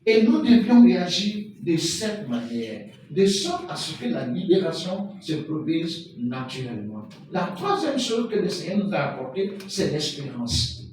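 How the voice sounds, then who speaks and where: A man preaching a sermon as pastor, animated and full of everyday examples. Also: a little high, unhurried, slight background noise. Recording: echoey hall from far off with music.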